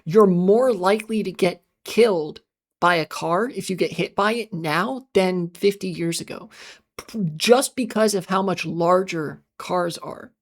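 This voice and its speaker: Woman, livestream host, slightly raspy, strained voice, casual chat, halting with fillers. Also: on the low side. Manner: halting, many fillers and restarts, talks fast then slows. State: voice strained from long talking and voice training.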